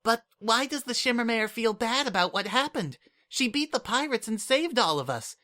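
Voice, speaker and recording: A man voicing a small, eager young female changeling child in an audiobook-style reading, with a high, insistent manner. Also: moderate pace, full character voice. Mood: earnest. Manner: excited, high-pitched and insistent.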